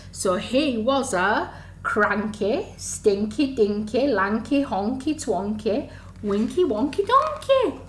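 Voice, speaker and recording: Female children's storyteller, unhurried and sing-song, exaggerated delivery for under-fives, recorded in an echoey room. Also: medium pitch, a bit bright. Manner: sing-song, exaggerated, asks the listeners questions. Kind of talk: animated storytime reading to young children. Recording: echoey room, faint background noise.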